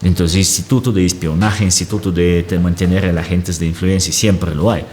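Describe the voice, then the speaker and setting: A man's voice: low-pitched, fairly even intonation, normal speed, a little mellow, long reverb tail, a little hiss or hum. A man, talking as a guest analyst in an explanatory conversation. He searches for words and often checks in with a questioning aside.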